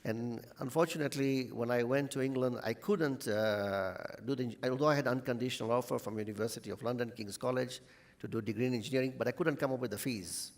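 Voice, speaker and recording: A middle-aged man from Tanzania, a hotel chief executive, telling his career story at a measured pace. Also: medium pitch. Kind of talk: panel answer. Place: conference hall.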